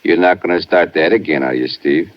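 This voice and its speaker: A man playing a big, calmly menacing sanitarium orderly in a 1950s radio drama, patronizing and understated. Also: low-pitched, a little fast, natural voice. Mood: placid menace. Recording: muffled vintage 1950s radio recording.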